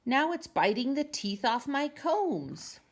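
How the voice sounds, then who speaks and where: A woman's voice: sits high, big pitch swings, slow pace, voice pushed up, full character voice. A woman voicing an irritated male barber from a storybook, brusque and exclaiming. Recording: clear background noise.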